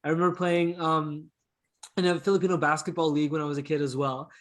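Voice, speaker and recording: A man with a Canadian accent, chatting casually and telling stories as an interview guest, easygoing and quick to chuckle. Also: a little high, little pitch movement. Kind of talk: casual conversational storytelling.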